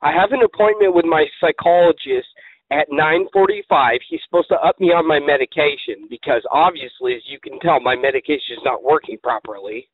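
A man playing an eccentric, muddled, easily excited prank caller who rambles and repeats himself in grandiose words over the phone. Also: a little high, brisk, natural voice. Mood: flustered. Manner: rambling, repetitive, theatrical, grandiose wording. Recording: tinny lo-fi phone call.

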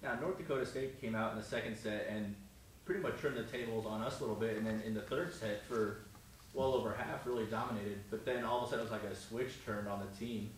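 A man's voice: lowish voice, fast, far from the mic. A man interviewing a coach after a match, asking upbeat, leading questions. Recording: very echoey room, off-mic, faint noise.

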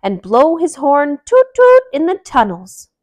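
An enthusiastic older male rabbit voiced by a woman in a children's storybook. He gets loud and high with excitement. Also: unhurried, full character voice.

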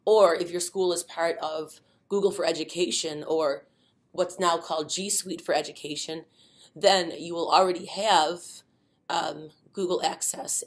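A middle-aged woman presenting an instructional webinar from a desk webcam, explaining step by step with frequent ums and uhs. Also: lowish voice. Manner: many fillers, unhurried explaining.

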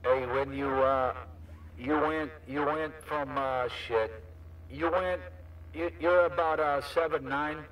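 A man's voice: on the high side, fairly even intonation, takes it slowly, crowd noise. A man chatting casually as a CB radio operator, with repetitive, slangy patter. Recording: distant CB radio transmission.

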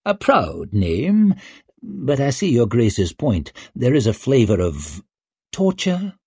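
A man voicing a vain, flattering male writer who speaks in flowery, grandiose phrases. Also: medium pitch, slow pace, vocal fry, breathy edge, no echo, full character voice. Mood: pompous.

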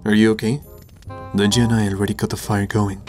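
Man acting, young male devil hunter, low voice, stern, earnest, responsible, fan comic dub, faint music. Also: flat delivery, a little fast, a little mellow, natural voice. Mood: earnest, instructive. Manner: serious, scolding, earnest.

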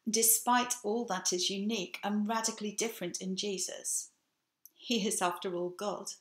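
A woman in her sixties with an English accent, giving a measured, earnest sermon from home to a webcam. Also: mid-range voice, fairly even intonation. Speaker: preacher. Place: home room with bookshelf, indoors. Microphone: webcam, seated facing camera. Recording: echoey room.

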